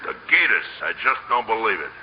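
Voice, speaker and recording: An actor playing a demanding, exasperated gambling boss, blunt and commanding, in 1950s radio comedy style. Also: male voice, lowish voice, big pitch swings, a little fast. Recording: muffled old radio recording, loud noise.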